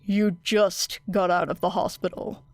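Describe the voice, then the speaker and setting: A woman voicing a stern, tired male teacher in an audiobook, with a terse, even, deadpan manner. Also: mid-range voice, unhurried, no echo, lightly acted. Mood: dry, weary. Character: weary, stern adult male teacher, dryly grumbling. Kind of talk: audiobook character acting.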